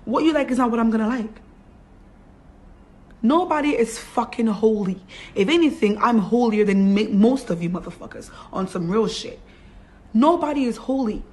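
A woman's voice: a little high, animated, moderate pace, darkish tone. A woman speaks off the cuff to her followers from a bedroom. She is blunt and emphatic, with a defiant, unfiltered delivery. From raised in Brooklyn, New York; Ghanaian. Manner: blunt, emphatic, defiant, swearing, switching into Twi. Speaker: vlogger addressing followers. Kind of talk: unscripted casual rant to camera. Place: small bedroom, sitting on a bed indoors.

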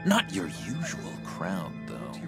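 An actor playing an eager, unhinged young schemer in a video game, halting and wheedling with sinister warmth. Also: male voice, low voice, huge pitch swings, loud music behind the voice, heavily acted. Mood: bitter disgust. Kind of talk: video game character acting.

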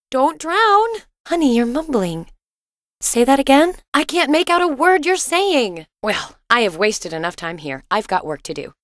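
Expressive, high-voiced wife and mother. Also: no echo, studio quality. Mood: alarmed, urgent. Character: caring, exasperated wife and mother, curious reporter. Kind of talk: video game voice acting.

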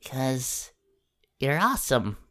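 A woman voicing a gentle, awkward, encouraging young male superhero in an ASMR comfort role-play, speaking haltingly and at times in a whisper. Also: extremely low pitch, big pitch swings, heavily acted. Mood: reassuring. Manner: hesitant, halting, partly whispered.

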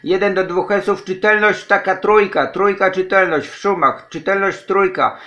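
A man's voice: high voice, steady tone, moderate pace, fairly bright tone, muffled recording. A man from Poland talking casually as an amateur radio operator, repeating his phrases. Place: indoors, radio desk in a flat.

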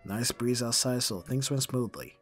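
A man's voice: medium pitch, moderate pace, a bit bright, soft background music, lightly acted. A man playing a caring but easily exasperated young roommate in an ASMR roleplay audio drama, casual and dryly bantering. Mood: easygoing.

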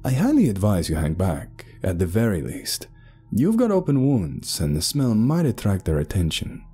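A man's voice: fairly low pitch, huge pitch swings, normal speed, warm tone, husky, soft background music, voice pushed up, exaggerated voice. A man voicing a smug, mysterious, boastful male traveler in a told story. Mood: matter-of-fact.

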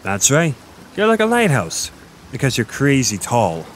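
A man playing a flirty, boastful Irish innkeeper, chatting in a meandering, intimate roleplay monologue with thoughtful pauses. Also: mid-range voice, wildly swooping pitch, a little hiss or hum. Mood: playful, flirty.

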